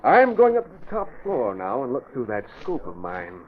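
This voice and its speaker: A scheming mission director giving urgent, commanding orders. His pitch swings widely, and the sound is thin and tinny like a worn radio transcription. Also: wildly swooping pitch, moderate pace. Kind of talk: 1940s radio acting. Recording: tinny old radio recording.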